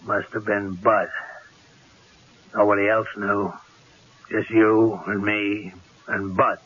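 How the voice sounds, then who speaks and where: A curt crime boss in a radio play, full of menace. The voice is fairly low and tense, on a muffled old recording. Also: male voice, level tone, slow pace, fairly bright tone, breathy edge, heavily acted. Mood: curt, suspicious. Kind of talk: old-time radio drama acting.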